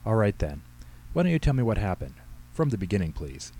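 A man acts out a small-town police officer in a storybook tale. He is patient and a little weary, asking questions in a dry, businesslike way with a very mellow male voice. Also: lowish voice, big pitch swings, a little fast.

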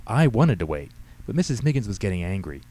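Audiobook teenage girl, acted by a man, raised pitch, defensive, insistent, indignant, mellow tone. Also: very animated, fast. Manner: insistent, protesting. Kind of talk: audiobook character dialogue.

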